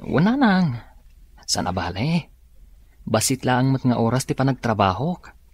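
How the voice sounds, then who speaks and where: A man's voice: medium pitch, big pitch swings, a little slow, breathy edge. An actor playing an eager, reassuring young male student in a radio drama.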